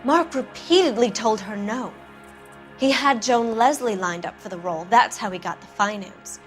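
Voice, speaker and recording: A woman's voice: a little high, normal speed, soft background music, close to an everyday voice. A woman acting a shaken, defensive wife of a movie producer in a video game, speaking in a proper, clipped, indignant way.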